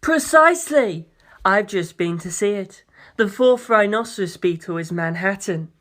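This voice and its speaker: A narrator voicing a suave, grandiose young male president with pompous, formal, drawn-out phrasing in an audiobook. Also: medium pitch, expressive, a bit bright. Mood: pleased.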